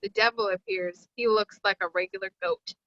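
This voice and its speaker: A woman's voice: mid-range voice, wildly swooping pitch, fairly quick, creaky, dry recording, muffled recording. A woman reading screenplay action lines as scripted narration. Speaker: stage directions reader.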